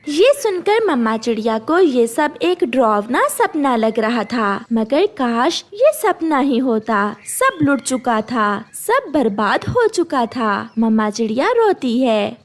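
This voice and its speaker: A woman's voice: fairly high pitch, big pitch swings, slow, hardly any pauses. A woman narrating a scripted children's cartoon story.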